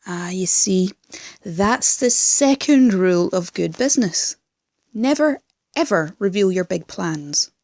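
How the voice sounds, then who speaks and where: A woman acts out a scheming female crime boss in an audio drama, speaking slowly in a close, dry sound. She is commanding and sardonic, used to being obeyed. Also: mid-range voice, expressive, a little mellow, no echo. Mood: smug. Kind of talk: fan audio drama acting.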